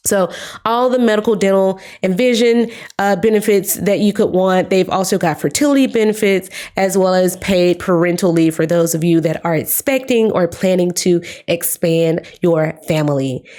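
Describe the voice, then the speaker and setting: A young woman vlogger giving chatty job advice straight to her viewers, her voice hoarse and giving out from being sick. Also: on the low side, normal speed, darkish tone. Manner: chatty, upbeat, addresses viewers as guys. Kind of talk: casual talk to camera.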